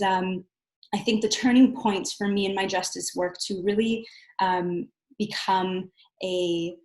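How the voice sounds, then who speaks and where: A woman giving a personal account as a panel guest, earnest and reflective, often halting to rephrase herself. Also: on the low side. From Southern California, United States. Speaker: panel guest, community coordinator. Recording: roomy, echoey sound.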